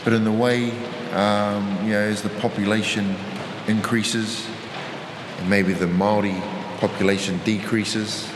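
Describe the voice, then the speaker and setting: A man's voice: fairly low pitch, flat delivery, unhurried, noisy background. A man, talking hesitantly with many fillers in an interview. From New Zealand. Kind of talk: casual interview answer. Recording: roomy, reverberant, with music.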